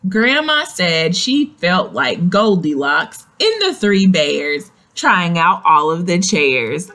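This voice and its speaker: A woman reads a picture book aloud to young children at an unhurried pace. Her pitch moves up and down in a lively, expressive way, and she addresses the listeners directly in an explaining manner. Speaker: children's story reader.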